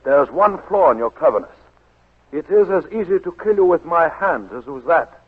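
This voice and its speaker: Actor as a high-voiced, gloating military villain. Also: male voice, lively intonation, lightly acted. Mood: cold menace. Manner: formal, deliberate, sinister. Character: menacing, coldly gloating Japanese military villain. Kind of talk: 1940s radio acting. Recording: faintly noisy, phone-like 1940s radio recording.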